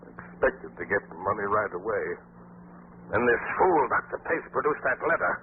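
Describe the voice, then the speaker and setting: An actor playing a desperate, greedy murderer who confesses in a melodramatic, halting 1940s radio style. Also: male voice, on the low side, very animated. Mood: bitter, confessing. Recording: scratchy, narrow-band 1940s radio recording.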